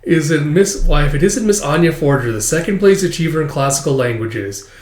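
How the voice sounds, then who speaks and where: A man's voice: fairly high pitch, expressive, fairly quick, lightly acted. A man voicing a pompous, grandiose schoolboy know-it-all with a mystical bent, from a comic story. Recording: roomy, echoey sound.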